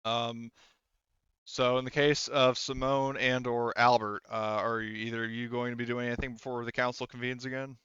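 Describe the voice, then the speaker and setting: A man's voice: medium pitch, little pitch movement, moderate pace, a bit bright, clear, resonant tone, dry recording. Rambling man running a tabletop game, dull recording. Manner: rambling, conversational, hedging. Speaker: game master. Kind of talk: casual tabletop roleplay narration.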